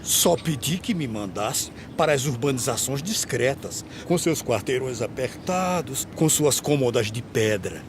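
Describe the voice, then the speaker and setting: An actor playing a weary, complaining veteran gravedigger in an animated film, speaking plaintive rhythmic verse. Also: male voice, mid-range voice, a little slow, hardly any pauses, noisy background, lightly acted. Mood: wistful, wry.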